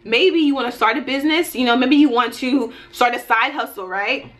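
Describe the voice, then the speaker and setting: A woman's voice: fairly high pitch, normal speed, fairly bright tone. A woman in her thirties giving a casual motivational vlog talk, emphatic and folksy, often checking in with her listeners. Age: 30s. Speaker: YouTuber and life and business coach.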